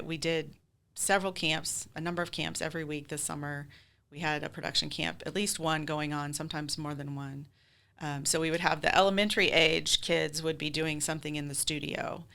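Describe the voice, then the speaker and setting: A woman's voice: sits low, gravelly, sharp tone, smooth. A woman speaking conversationally as a theater group president being interviewed, explaining things in chatty, run-on detail.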